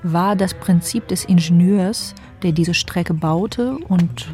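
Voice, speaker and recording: A woman narrating a scripted radio play in a measured, wry way. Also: fairly low pitch, a little mellow, soft background music.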